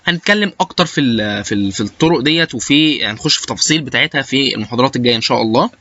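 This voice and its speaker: Egyptian guy lecturing real fast, bright voice, lots of uhs and restarts, slightly muffled dry recording. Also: mid-range voice, expressive, no echo. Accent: Egyptian Arabic. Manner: halting, with frequent hesitation sounds and repeated starts. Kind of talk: informal educational lecture with English technical terms.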